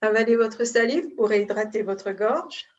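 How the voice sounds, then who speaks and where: A woman yoga nidra instructor giving calm, unhurried guided relaxation in short phrases with pauses between them. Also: fairly high pitch. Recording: distant mic, dull muffled sound.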